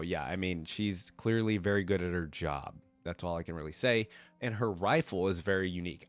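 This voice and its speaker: A man's voice: lowish voice, no room sound. A man with a congested voice from a cold, giving casual, loose gaming reaction commentary and thinking aloud as he goes. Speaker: YouTube reactor and host.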